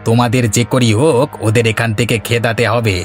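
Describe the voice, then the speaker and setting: A man's voice: medium pitch, steady tone, fairly quick, soft background music, close to an everyday voice. Man acting a bossy, greedy village husband. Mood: bossy, scheming. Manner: bossy, insistent. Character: greedy, scheming village husband, bullying his neighbours. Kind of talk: cartoon voice acting.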